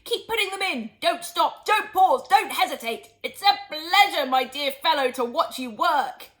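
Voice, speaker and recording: A woman voicing an excitable, impatient father in a storybook, exclaiming and urging. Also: high voice, a little slow, pitched above the performer's own voice. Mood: thrilled, urging.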